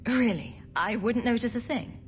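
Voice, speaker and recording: A woman playing a composed, skeptical adventuring heroine in a video game, measured and questioning. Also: a little high, soft background music, lightly acted.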